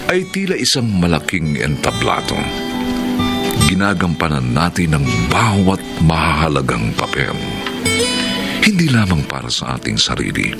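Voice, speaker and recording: A man narrating a radio drama in a solemn, measured, dramatic style with a deep, gravelly voice. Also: wildly swooping pitch. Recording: loud music under the voice.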